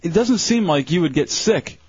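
A man's voice: on the high side, expressive, normal speed, darkish tone, slightly rough, dry recording, highs cut off. A man co-hosting a radio show, teasing his partner in dry casual banter.

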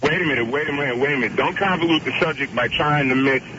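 A deep-voiced man calling in to a radio talk show, earnest and wordy, often cutting in to make his point. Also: fast, over a noisy crowd. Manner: earnest, wordy, interrupts with repeated "wait a minute". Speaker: caller. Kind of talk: radio call-in chat. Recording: dull radio audio with clear hiss.

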